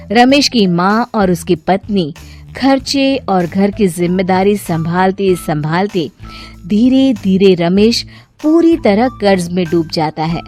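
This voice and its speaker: A woman's voice: mid-range voice, darkish tone. A woman narrator reading a scripted story.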